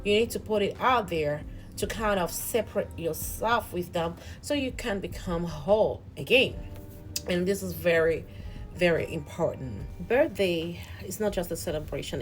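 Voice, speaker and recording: A woman's voice: on the low side, rough recording. A woman hosting a channel, giving an earnest, reflective spiritual motivational talk that often repeats and restarts words. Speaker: host.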